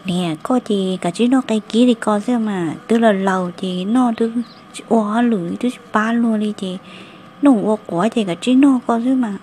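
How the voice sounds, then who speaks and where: An actress voices a woman in a cartoon family drama. The voice sits at an average female pitch and is delivered in an amateur dubbing style. A faint noise runs behind it. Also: moderate pace, warm tone, natural voice. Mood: conversational.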